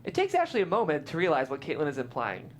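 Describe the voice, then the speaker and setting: A narrator reading stage directions aloud at a script table read, amused and lively. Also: mid-range voice, fast, a little hiss or hum.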